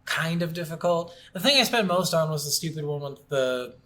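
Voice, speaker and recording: A man's voice: a little high, expressive, normal speed, bright, forceful tone. A man co-hosting a video game podcast, chatting casually and bluntly through a review.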